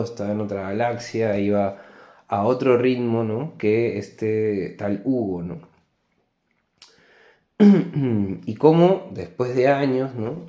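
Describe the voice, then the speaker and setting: Peruvian guy talking through a book review, lowish mellow voice, thinks as he goes, roomy echo. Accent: Peruvian Spanish. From Peru. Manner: reflective, halting, ends phrases with tag questions. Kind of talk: unscripted book review monologue. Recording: echoey, roomy sound.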